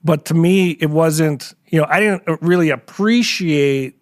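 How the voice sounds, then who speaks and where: A middle-aged man, an author guest in an interview, telling stories in a relaxed, animated way and acting out quotes. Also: a little high, measured pace, no room sound, studio quality. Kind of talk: casual interview storytelling.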